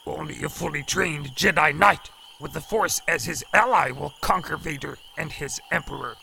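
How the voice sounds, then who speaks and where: Tiny ancient alien sage, super croaky, slow backwards phrasing, stern mentor, noisy audio drama. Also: male voice, fairly high pitch, bright, forceful tone, full character voice. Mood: stern, urgent. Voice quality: gravelly, croaky. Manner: inverted word order, slow deliberate speech. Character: ancient small wise male alien Jedi master, stern mentor.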